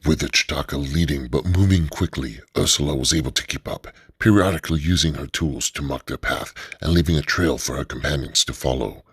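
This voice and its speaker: A man narrating a radio drama in a steady, measured storytelling manner. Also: extremely low pitch, slightly rough, dry recording.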